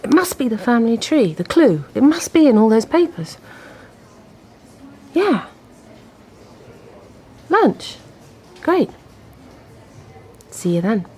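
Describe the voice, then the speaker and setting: A woman's voice: a little high, very animated, slow, long pauses, darkish tone, slightly rough, full character voice. An actress playing an agitated, eager woman talking on a phone call in a radio drama.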